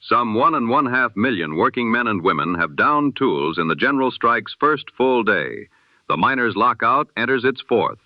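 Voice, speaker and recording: A man reading the news in the measured, solemn cadence of an old-time radio announcer. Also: medium pitch, animated, no echo, lo-fi sound. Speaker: newsreader.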